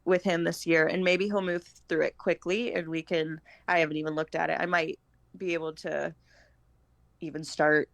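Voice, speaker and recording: A woman's voice: sits low, flat delivery, moderate pace, creaky, no echo. An American woman in her thirties chatting informally as a podcast guest, feeling her way through her thoughts as she talks. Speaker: podcast guest, homeschooling mother. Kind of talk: casual conversational chat.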